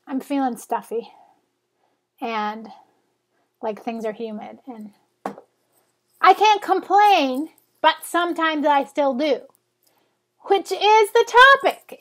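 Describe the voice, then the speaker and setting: A woman in her forties, stuffed up from a cold, chatting in a rambling, self-correcting way as she talks to her blog readers. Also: high-pitched. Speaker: blogger. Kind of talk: casual vlog chat.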